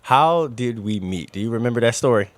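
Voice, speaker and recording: A man's voice: medium pitch, lively intonation, brisk, dry recording. An American man hosting a casual podcast, talking in a slangy, hype-man way with lots of filler tags. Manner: slangy, hype-man exclamations, frequent "man" and "you know". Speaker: podcast host. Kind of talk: casual hyped podcast chat.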